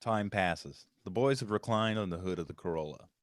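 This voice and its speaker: A man's voice: sits low, normal speed, no echo, clean studio sound. A man reading out stage directions as scripted narration at a table read of a screenplay.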